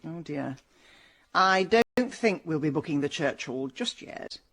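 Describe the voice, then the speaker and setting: A determined middle-aged British woman sleuth in a radio mystery. Her voice is husky and swings freely in pitch, with a dry, sharp-tongued delivery. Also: expressive, measured pace, exaggerated voice. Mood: guarded, wry. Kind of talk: radio drama acting.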